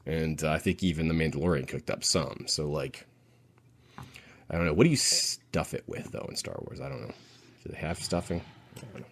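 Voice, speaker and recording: A man with a very low voice hosts a relaxed group chat. He rambles and hesitates, feeling his way through each thought in casual, unpolished talk. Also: moderate pace, pauses often, a little hiss or hum. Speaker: podcast host.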